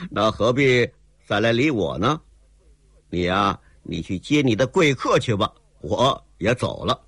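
A male storyteller voicing a proud, quick-tempered young gentleman who talks brusquely. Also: medium pitch, no room sound, studio quality, full character voice. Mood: scoffing. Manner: brusque, scoffing.

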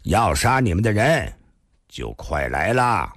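A man's voice: on the low side, big pitch swings, a bit bright, no echo, clean studio sound, pitched below the performer's own voice, full character voice. A man voicing a cold, sneering martial artist in an audiobook, stretching out each word with menace. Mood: contemptuous. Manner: slow, word-by-word, deliberate delivery.

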